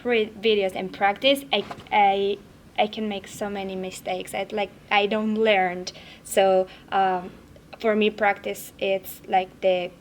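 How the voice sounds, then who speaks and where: A woman coach from Mexico, a Spanish speaker with a Mexican accent, talking in a halting way with many restarts. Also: medium pitch, slight background noise. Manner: halting, repeats words. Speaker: fitness coach. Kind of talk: roundtable chat.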